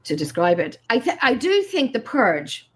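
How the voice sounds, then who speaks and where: An Irish woman co-hosts a casual talk show. Her voice sits fairly high, and she speaks in an informal, conversational way. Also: huge pitch swings, normal speed, breathy edge. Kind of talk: casual chat.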